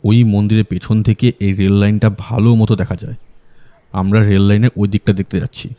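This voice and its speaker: A man playing a low, mellow, shrewd detective. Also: level tone, a little fast, lightly acted. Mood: composed commanding. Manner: instructing, confident, taunting. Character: shrewd, commanding male detective. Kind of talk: audio drama acting.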